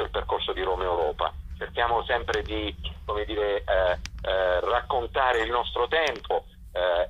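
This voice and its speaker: A man with a very low, husky voice speaks over a muffled phone line. He describes a festival program as its director, pausing often with fillers. The line stays dull and lo-fi throughout. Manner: hesitant, with frequent fillers. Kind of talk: radio phone interview. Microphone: telephone.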